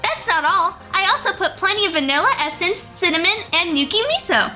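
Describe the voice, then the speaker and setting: A woman's voice: extremely high pitch, animated, brisk, sharp tone, faint music behind, heavily acted. A woman voicing a cheerful, fearless little round hero creature in a fan radio play, bubbly, naive and eager. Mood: proud, eager.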